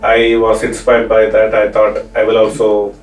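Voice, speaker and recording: Man, low steady reflective voice. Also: moderate pace, roomy sound, low-quality recording. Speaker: chess player. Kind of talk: documentary interview.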